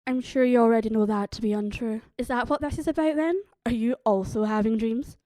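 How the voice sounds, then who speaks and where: A woman's voice: on the high side, brisk, warm tone, no echo, clean studio sound, natural voice. A woman playing a stern, haughty vampire leader in an audio drama, formal, guarded and imperious in her speech. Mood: stern, suspicious.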